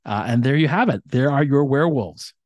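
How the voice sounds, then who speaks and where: A man's voice: mid-range voice, lively intonation, fairly quick, no room sound. Thoughtful man, dry close sound. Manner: thoughtful, hesitant, with many fillers. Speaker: podcast co-host. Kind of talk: casual chat.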